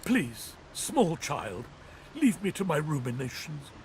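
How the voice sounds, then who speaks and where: A man's voice: on the high side, big pitch swings, takes it slowly, darkish tone, a little hiss or hum. A man playing a thousand-year-old skeleton knight in a fantasy game, anguished and noble, with theatrical, archaic speech. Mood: weary, grudging. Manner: theatrical, archaic, grandiose phrasing. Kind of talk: dramatic fantasy game voice acting.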